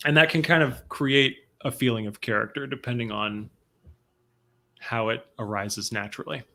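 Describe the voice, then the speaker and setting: A man with a cold, his voice stuffy and sniffly, hosts a loose chat about roleplaying games. His tone is bright and forceful, and he explains his points with quick examples. The sound comes through a video call. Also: medium pitch, creaky. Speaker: host. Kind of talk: casual discussion of roleplaying games.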